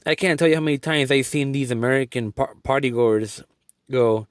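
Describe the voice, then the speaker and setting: A man's voice: medium pitch, moderate pace, no room sound. Earnest rambling man from Guatemala, dry sound. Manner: earnest, rambling with frequent restarts. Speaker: vlogger. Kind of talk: casual video update.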